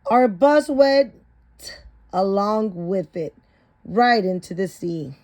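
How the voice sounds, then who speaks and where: Slow-paced woman reading a picture book aloud. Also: medium pitch, expressive, a little mellow. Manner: stumbles over harder words and corrects herself. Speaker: story reader.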